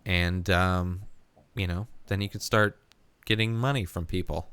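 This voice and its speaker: Rambling low-voiced man on a podcast. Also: fairly even intonation, a bit bright, clear, resonant tone. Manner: rambling, halting, profane. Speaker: podcast host. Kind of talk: casual comedic podcast chat.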